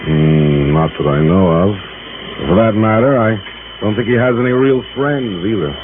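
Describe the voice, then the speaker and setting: A man's voice: lowish voice, lightly acted. A man acting a talkative, eager American insurance man in a 1950s radio drama, slangy and salesman-like. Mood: hedging, uneasy. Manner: slangy, hesitant pauses, salesman-like. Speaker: radio actor playing a supporting part. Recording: muffled, noisy old radio broadcast.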